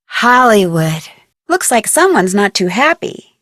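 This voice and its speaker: A young American woman playing a curious, chirpy amateur detective in an adventure game, thinking aloud with clear enunciation. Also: mid-range voice, very animated, a little slow, fairly bright tone, no echo, studio quality. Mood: musing. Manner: clearly enunciated, inquisitive musing to herself.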